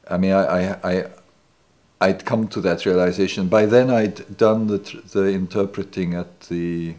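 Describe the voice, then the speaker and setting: A man's voice: low voice, steady tone, normal speed, a little mellow. A man in his sixties, not a native English speaker, recalling the past in a seated interview in a living room, hesitant with many restarts. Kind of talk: reflective recollection. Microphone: off-camera, seated interview.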